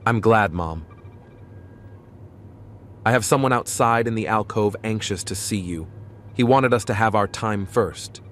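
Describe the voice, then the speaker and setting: Man as gentle adult son, lower voice, audio drama scene with background hum. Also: a little slow, long pauses. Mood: relieved. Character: gentle adult son reunited with his mother.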